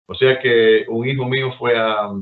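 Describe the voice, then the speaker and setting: A man's voice: mid-range voice, steady tone, normal speed. A middle-aged Cuban man with a Cuban accent, telling stories in a rambling casual chat. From Cuba. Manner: storytelling, rambling with restarts. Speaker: guest. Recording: tinny phone-like line.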